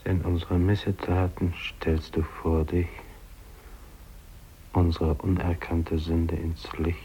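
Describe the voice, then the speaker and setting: An actor playing a grave, brooding polar explorer at the end, giving a solemn monologue in a 1950s radio play. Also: male voice, sits low, flat delivery, warm tone. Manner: solemn, measured, repeating phrases. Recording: dull, hissy old radio recording.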